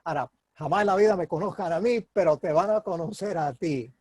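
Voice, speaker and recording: A man's voice: sits high, brisk, a little mellow, dry recording, clean studio sound. A man interviewing a guest in a jovial, rambling way, asking long loose questions and laughing along. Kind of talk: casual interview chat.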